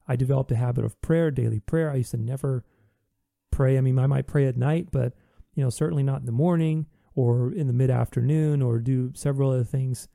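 A man's voice: medium pitch, vocal fry, mellow, no echo, studio quality. A man hosting a podcast, talking earnestly in a reflective solo monologue, with many restarts. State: recovering from voice loss.

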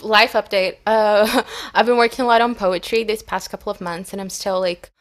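A young woman whose first language is Portuguese talks easily in a loose, rambling way, full of fillers and restarts. She is a podcast co-host in a relaxed chat with friends, and her voice sits at an ordinary middle pitch. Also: normal speed. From Brazil. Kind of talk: casual chat.

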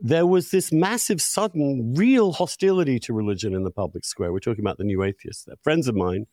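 A mature man with a mid-pitched voice hosts a conversational interview. He muses out loud and makes his points with emphasis and opinion, recorded dry with very little room sound. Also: fairly quick, dry recording. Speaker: podcast host, interviewer.

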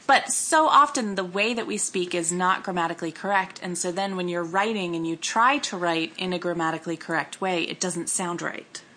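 A woman in casual conversation, animated and self-deprecating, laughing often as she talks. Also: fairly low pitch, normal speed, few pauses.

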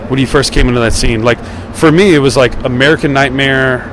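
A man's voice: mid-range voice, brisk, noisy background. A man of thirty hosting a podcast, asking questions in a loose, chatty, joking way. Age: 30s. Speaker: podcast host. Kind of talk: casual interview chat.

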